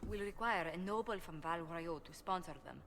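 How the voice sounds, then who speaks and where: An actress playing a principled, courteous diplomat in a fantasy video game, speaking formally and earnestly. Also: female voice, lowish voice, moderate pace, fairly bright tone, slight background noise. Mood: troubled, formal.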